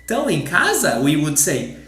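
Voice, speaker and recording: A man from southern Brazil with a Brazilian Portuguese accent, teaching with examples and repeating phrases slowly. Portuguese is his first language. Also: fairly high pitch, wildly swooping pitch, gravelly, fairly bright tone, reverberant.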